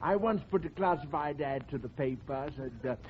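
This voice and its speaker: An actor playing a doddering, cranky old husband with a folksy, rambling manner in 1940s radio comedy. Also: male voice, fairly high pitch, fairly quick, natural voice. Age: older. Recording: muffled 1940s radio recording, audience, hiss.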